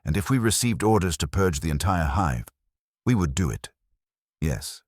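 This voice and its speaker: A man voicing a weary, haunted soldier burdened by guilt in a story narration, speaking gravely and confessionally in short halting sentences. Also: low voice, lively intonation, no room sound, studio quality. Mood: weary, bitter.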